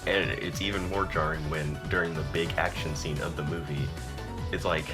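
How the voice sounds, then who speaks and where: A man's voice: very deep voice, fairly even intonation, normal speed, over music. A young man casually reviewing a movie, chatty and exasperated, with lots of fillers and short laughs. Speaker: video reviewer.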